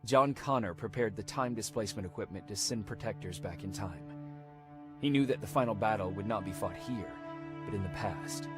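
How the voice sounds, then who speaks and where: An actor playing an earnest, dutiful soldier in a future-war video game, with a serious, sober delivery. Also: male voice, fairly low pitch, animated, moderate pace, close to an everyday voice. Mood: somber. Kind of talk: dramatic video game acting. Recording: game dialogue buried in loud music.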